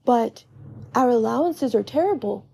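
A woman's voice: a little high, animated, measured pace, vocal fry, dark tone, slight background noise. A woman voicing a tiny, clever, wistful little boy in storybook dialogue, hesitant and grumbling.

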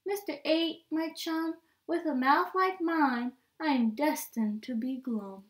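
Woman doing a glum mopey storybook fish. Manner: slow mopey sing-song, deep drawn-out blubs. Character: glum gloomy male cartoon fish. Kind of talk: storybook reading.

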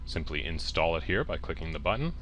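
A man's voice: extremely low pitch, sing-song, a little fast, fairly bright tone, a little hiss or hum. A man presenting a step-by-step tech tutorial in a plain-spoken, instructional way, addressing the viewer directly. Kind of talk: step-by-step software tutorial narration.

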